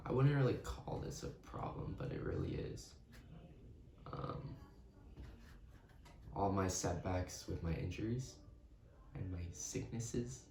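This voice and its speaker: A man of twenty-one from West Texas answering interview questions slowly and thoughtfully. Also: deep voice, animated, gravelly, mellow. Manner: slow and thoughtful, pauses with hmm. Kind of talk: casual reflective interview answers. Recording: roomy, distant mic, crowd noise behind.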